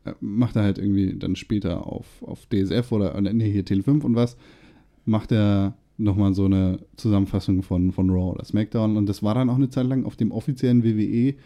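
A young man hosts a podcast in a loose, easygoing chat. His voice is low and very dark, almost muffled in its mellowness, and he agrees along with plenty of little fillers. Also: brisk. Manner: relaxed, agreeable, lots of filler. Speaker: podcast host. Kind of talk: casual chat.

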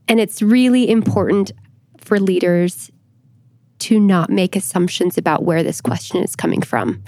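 A woman speaking as an expert podcast guest, explaining things in an earnest, measured and reflective manner. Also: medium pitch, darkish tone. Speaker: podcast guest, church historian. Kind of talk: thoughtful explanatory discussion.

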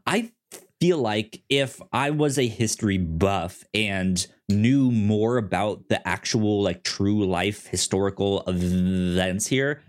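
A man's voice: lowish voice, slow. A man co-hosting a casual film discussion, stammering and repeating words as he works through his thoughts. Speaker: podcast co-host.

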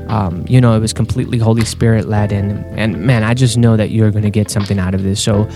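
A man hosting a faith podcast, talking earnestly and a little haltingly with fillers and restarts. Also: fairly low pitch, fairly even intonation. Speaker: podcast host. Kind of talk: casual podcast intro. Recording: clear guitar music underneath.

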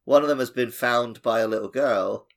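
A man's voice: mid-range voice, moderate pace, vocal fry. A man co-hosting a podcast in casual chat, telling anecdotes in a jokey, self-deprecating way. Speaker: podcast co-host.

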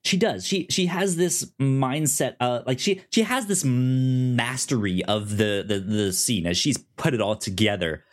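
A man co-hosting a casual TV review discussion, speaking haltingly and stammering over repeated syllables. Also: medium pitch. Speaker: podcast co-host.

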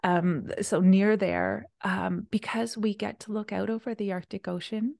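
A woman hosting a casual conversation, chatty and enthusiastic, sometimes dropping to a soft near-whisper. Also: medium pitch, fairly even intonation, a little slow, gravelly, no room sound. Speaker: retreat host.

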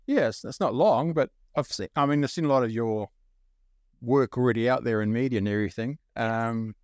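A man's voice: medium pitch, normal speed, dry recording. A man with a New Zealand accent, hosting a podcast and asking his guest loose questions. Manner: reads intro, then asks loose questions. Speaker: podcast host. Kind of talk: podcast interview.

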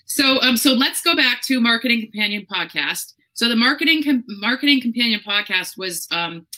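A woman's voice: a little high, animated, moderate pace, sharp tone, poor audio. A woman hosting a casual interview, chatty and enthusiastic, telling stories with lots of fillers. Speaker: interviewer and show host.

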